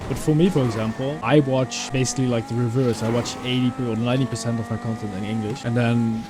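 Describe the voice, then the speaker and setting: A man's voice: mid-range voice, steady tone, quick pace. A young man with a Dutch-German accent, talking casually and rambling with many fillers while he plays a driving game. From Netherlands. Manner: rambling, chatty, many fillers and self-corrections. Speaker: gaming YouTuber. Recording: game car engine noise behind. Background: racing car engine and game sounds.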